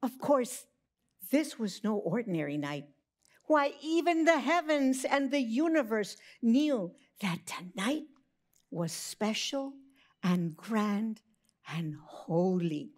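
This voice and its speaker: A woman narrating a scripted Christmas story for children, expressive, with drawn-out emphasis. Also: a little high.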